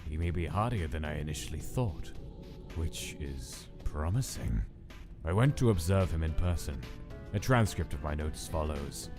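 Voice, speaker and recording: A man's voice: extremely low pitch, expressive, normal speed. A man playing a cold, irritable skeleton scientist who narrates his log in a formal, precise manner for a comic dub. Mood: clinical, wary. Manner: formal, precise, terse, exasperated when disturbed. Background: music.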